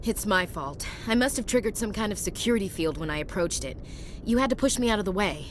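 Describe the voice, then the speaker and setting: An actress playing an earnest, dutiful young soldier in a video game, speaking in a direct way. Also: female voice, medium pitch, lively intonation, quick pace, close to an everyday voice. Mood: earnest, apologetic. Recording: clear engine-like ship noise behind.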